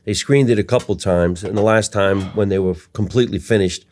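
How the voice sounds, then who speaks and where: A man with a very low-pitched voice hosts a casual podcast. He is a stand-up comedian who talks about his work in a blunt, matter-of-fact manner. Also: a little fast, a little mellow.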